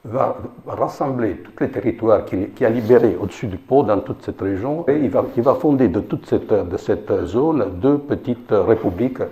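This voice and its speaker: Halting Italian-accented man lecturing. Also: medium pitch, expressive, warm tone, reverberant, highs cut off. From Italy. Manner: halting, many repeated words and fillers. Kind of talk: informal history lecture.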